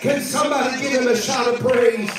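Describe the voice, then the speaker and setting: A man's voice: very high-pitched, steady tone, brisk, far from the mic, music in the background. A man preaching a sermon in a church service, reading scripture aloud and calling out to the congregation. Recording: echoey church from off-mic.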